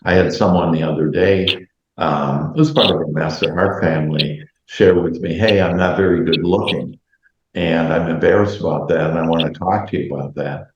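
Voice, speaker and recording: Host guy, very low voice, rambles with lots of ums, echoey dull-sounding room. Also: fairly even intonation, slight background noise. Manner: rambling, halting, heavy fillers. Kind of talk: casual video chat.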